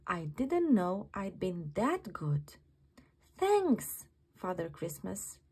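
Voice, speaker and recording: A woman's voice: mid-range voice, very animated, extremely slow, darkish tone, slightly rough, exaggerated voice. A woman voicing a small, eager, kind-hearted slug from a storybook, earnest and exclamatory. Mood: delighted.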